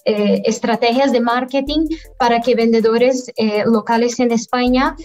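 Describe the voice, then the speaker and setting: A woman in her thirties explains her work as a guest on a video call, heard through a webcam. Her voice sits fairly high and her delivery is measured, halting between phrases. Faint music plays behind. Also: steady tone, a little mellow. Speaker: guest, affiliate manager. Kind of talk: business interview answers. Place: indoor room at home, by video call.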